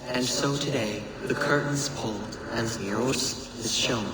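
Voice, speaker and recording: An actor playing a cryptic, solemn oracle in a video game, speaking in a grave, oratorical way with deliberate phrasing. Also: male voice, mid-range voice, natural voice. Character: cryptic, solemn male oracle musing on time. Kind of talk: scripted dramatic monologue in video game. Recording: echoey, distant, loud ambient noise.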